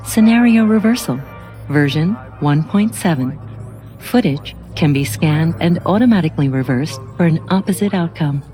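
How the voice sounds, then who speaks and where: Coolly polite computer assistant, reverberant. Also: female voice, deep voice, animated, normal speed, warm tone, soft background music. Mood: flat, detached. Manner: formal, clipped robotic phrasing. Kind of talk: audio drama acting.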